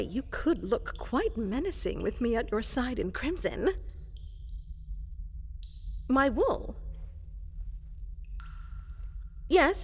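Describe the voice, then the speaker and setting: A woman's voice: high voice, full character voice. A woman playing a fluffy, woolly llama-like creature in a fantasy roleplay, eager to serve as an evil advisor, speaking with flustered, hesitant politeness. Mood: eager, polite. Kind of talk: roleplay ASMR character acting. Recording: long reverberant hall echo.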